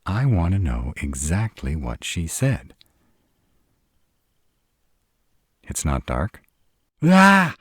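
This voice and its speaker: Man acting a very deep, husky, sarcastic vampire. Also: huge pitch swings, slow pace, no room sound, heavily acted. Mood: indignant, alarmed. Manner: sarcastic, grumbling, dramatic. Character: sarcastic irritable male vampire, stranded in a human's home. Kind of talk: intimate roleplay audio drama monologue.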